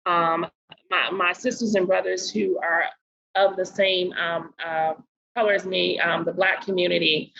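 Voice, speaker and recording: Middle-aged woman from Barbados; podcast guest; bright, raspy tone with some fry; earnest and deliberate. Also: on the low side, muffled recording. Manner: earnest, measured, slows down to stress points. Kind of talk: casual interview talk.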